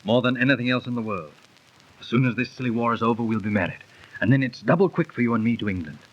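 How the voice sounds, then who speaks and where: An actor playing a dashing, devoted young British army captain who scorns superstition, in the theatrical style of thirties radio drama. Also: male voice, medium pitch, fast, close to an everyday voice. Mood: ardent. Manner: ardent, theatrical, gallant. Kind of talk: 1930s radio drama acting. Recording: muffled old 1930s radio recording.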